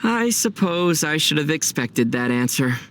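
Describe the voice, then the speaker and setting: A man's voice: a little high, very animated, measured pace, a bit bright, a little hiss or hum. A young man playing a youthful, easygoing traveler hero in a video game, wry and dryly teasing. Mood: calm, curious.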